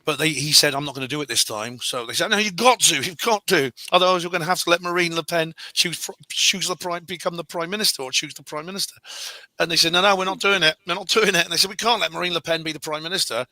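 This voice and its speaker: A hoarse man with a lingering cold, talking in a halting, wry way on a casual chat show, often correcting himself. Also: a little high, bright, forceful tone, dry recording.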